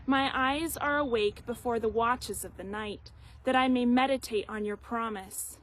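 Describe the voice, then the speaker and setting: A woman reading scripture aloud in a measured, deliberate way. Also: on the high side. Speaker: Bible reader.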